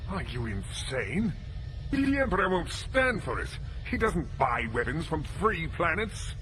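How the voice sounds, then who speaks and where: An imperious space-opera villain commanding a fleet. His sharp, fairly high voice swings in pitch as he orders and threatens, with faint noise behind him. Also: big pitch swings, measured pace, faint music behind, exaggerated voice, rough recording. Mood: furious. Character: imperious, menacing male Imperial dark-side executor commanding a fleet. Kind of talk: theatrical space-opera audio drama acting.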